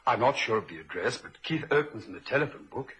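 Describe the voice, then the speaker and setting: Actor as an earnest, very animated young man. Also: medium pitch, close to an everyday voice, poor audio. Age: young adult. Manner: emotive, earnest, halting. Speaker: actor in a radio drama. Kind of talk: vintage radio drama acting.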